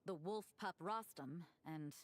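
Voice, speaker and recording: A woman playing a composed, knowing adult woman in a video game, recounting old lore in a measured, articulate and wry way. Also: fairly low pitch, no echo, close to an everyday voice. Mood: thoughtful, explaining. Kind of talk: video game dialogue, storytelling.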